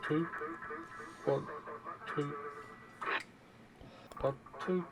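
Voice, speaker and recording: A man's voice: a little high, fairly even intonation, drawn-out words. A man doing a microphone test count through a CB radio with an echo effect. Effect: echo unit, heard through CB radio.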